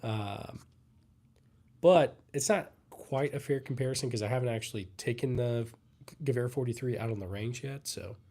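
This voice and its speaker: Man with a sick, hoarse voice. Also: mid-range voice, moderate pace. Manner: relaxed, rambling, answers chat comments. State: sick, losing his voice. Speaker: livestream host. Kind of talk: casual live Q&A chat.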